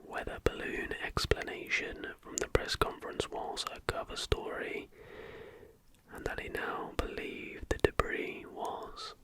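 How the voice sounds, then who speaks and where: An ASMR host narrating in a breathy, unvoiced whisper with a hushed, measured delivery. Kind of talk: ASMR reading of conspiracy history, scripted narration with brief asides.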